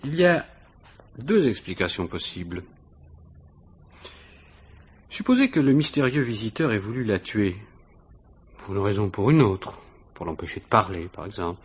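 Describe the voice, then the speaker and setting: An actor playing a probing, ironic police inspector in a 1950s-style French radio mystery, falsely casual and insistent, with hesitant, insinuating questions. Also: male voice, on the low side, sing-song, moderate pace, pauses often, husky. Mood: measured, accusing.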